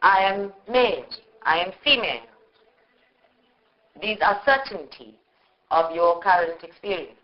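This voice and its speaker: A woman guides a meditation at a very slow pace. She speaks in short, measured phrases and leaves long pauses between them. The live recording sounds dull and lo-fi. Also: fairly low pitch, animated. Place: live group meditation session.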